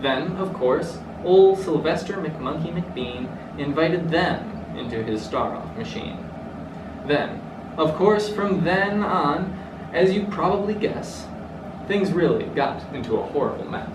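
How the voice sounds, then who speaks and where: A man's voice: on the high side, lively intonation, measured pace. A young man reading a rhyming children's storybook aloud. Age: young adult. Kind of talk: storybook read-aloud in rhyme. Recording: roomy, distant mic, clearly noisy.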